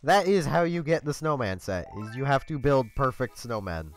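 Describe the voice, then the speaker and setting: A man's voice: mid-range voice, animated, moderate pace, soft background music. A congested man hosting a let's play from home, rambling through casual commentary with lots of ums and small laughs.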